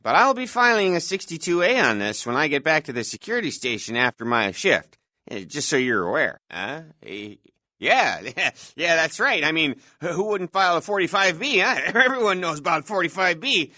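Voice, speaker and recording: A self-important, folksy night-shift security guard for a video game. His delivery swings up and down with officious bluster and hesitant filler. The voice is bright and forceful, recorded very dry and close. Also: a little high, very animated, normal speed, no echo, exaggerated voice. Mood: condescending, chummy. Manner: pompous, officious, hesitant filler-laden patter. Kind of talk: scripted video game voice lines.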